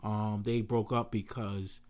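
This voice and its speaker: A man's voice: lowish voice, flat delivery, slow. A man hosting a casual group discussion, posing questions conversationally and acting out bits of quoted dialogue.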